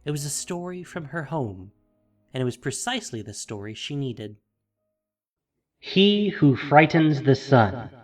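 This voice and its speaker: A man narrating a scripted fantasy audio drama with measured, theatrical phrasing. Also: fairly high pitch, big pitch swings, a little mellow. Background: music.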